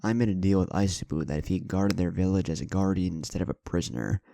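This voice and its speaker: A man's voice: deep voice, fast, vocal fry, mellow. A man voicing a confident, playful young male ninja leader in a story reading, casual and teasing. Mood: reporting.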